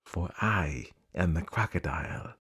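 A man voicing a sly, menacing crocodile in a storybook, coaxing his prey in a quiet, sinister way. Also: deep voice, animated, unhurried, husky, pitched below the performer's own voice, heavily acted.

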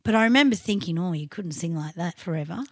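An Australian woman co-hosting a podcast, chatting crudely with lots of swearing, in a high, trained singer's voice. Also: animated, fast, no echo, studio quality. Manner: crude, swearing, outspoken banter. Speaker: podcast co-host. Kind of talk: casual chat.

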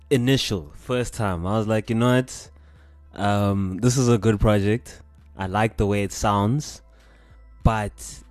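Deep-voiced young South African man. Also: a little mellow, dry recording. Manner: slangy. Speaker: podcast co-host. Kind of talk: casual chat.

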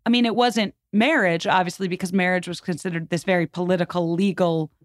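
A woman with a slightly low voice speaks as a podcast guest, explaining history in an informal, conversational way. She is enthusiastic and opinionated, and the sound is dry, with very little room in it. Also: fairly even intonation, fairly quick, no room sound.

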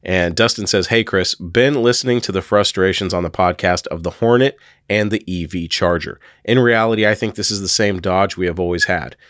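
Hoarse man, deep voice, dry close sound, loose chatty podcast host. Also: brisk, hardly any pauses, fairly bright tone, dry recording. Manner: rambling, chatty with many fillers. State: hoarse from a sore throat. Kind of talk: casual podcast talk.

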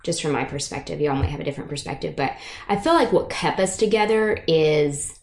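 A woman's voice: sits low, expressive, fairly quick. A woman in her thirties with a Michigan accent, chatting casually and self-deprecatingly with old college friends at a home. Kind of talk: casual chat. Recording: roomy echo.

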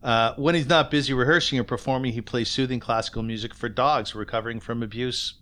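A man's voice: mid-range voice, fairly even intonation, fast, fairly bright tone. A man hosting a conversational podcast interview, speaking earnestly and often checking for agreement.

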